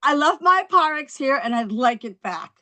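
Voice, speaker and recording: An actress playing a hurt, stubborn, sardonic New York housewife at a table read, with emphatic, sarcastic delivery. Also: female voice, high-pitched, very animated, normal speed, pushed and bright, full character voice. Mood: tipsy, insistent. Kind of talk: table read of a TV comedy-drama script.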